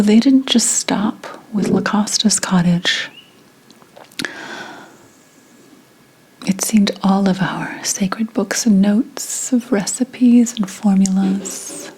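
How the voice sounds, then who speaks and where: Slow whispering witch played by a woman. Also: mid-range voice, slight background noise, low-quality recording. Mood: grave, bitter. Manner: hushed, slow, deliberate storytelling. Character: reincarnated 17th-century witch, mournful and secretive storyteller. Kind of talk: ASMR roleplay, whispered monologue.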